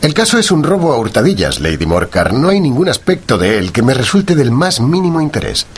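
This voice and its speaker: An actor playing a cool, self-assured gentleman detective in a radio drama, precise, formal and dismissive. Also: mid-range voice, sing-song, a little fast, slightly rough. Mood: politely dismissive. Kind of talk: Spanish-language radio drama dialogue.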